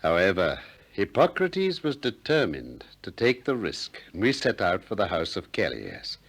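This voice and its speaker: An actor playing a probing, gently ironic Greek philosopher who also narrates, in a 1960s radio play. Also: male voice, low voice, huge pitch swings, full character voice. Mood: wry narration. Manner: questioning, gently ironic, deliberate.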